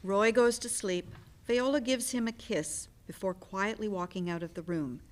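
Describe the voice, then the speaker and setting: Medium-pitched woman reading stage directions. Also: normal speed. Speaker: narrator. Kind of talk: scripted stage-direction narration.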